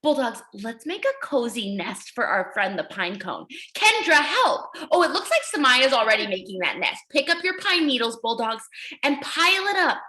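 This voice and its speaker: A woman reading aloud to young children as their teacher, encouraging and exaggerated, pausing to ask questions. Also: sits high, very animated, pushed and bright.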